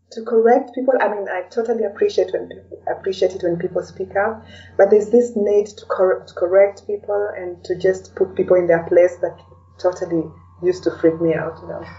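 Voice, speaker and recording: A woman with a dark, mellow tone chats casually as a podcast guest. She sounds a little away from the microphone, in a dull lo-fi recording, and often tags her phrases with "you know". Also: mid-range voice, steady tone, normal speed, recorded from a distance. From Kenya. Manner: chatty, peppers talk with "you know". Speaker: interview guest. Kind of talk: casual conversational interview.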